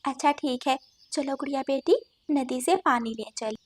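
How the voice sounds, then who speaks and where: A woman voicing a gentle, homely mother bird in a cartoon, soft-spoken and kindly. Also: high-pitched, normal speed, natural voice.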